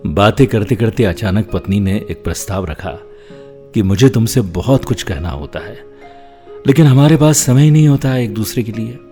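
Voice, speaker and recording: A middle-aged man reading scripted voice-over in a measured, dramatic way. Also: lowish voice, expressive, warm tone, long reverb tail, soft background music. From India. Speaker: professional voice-over artist. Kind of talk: scripted voice-over for commercials and narration.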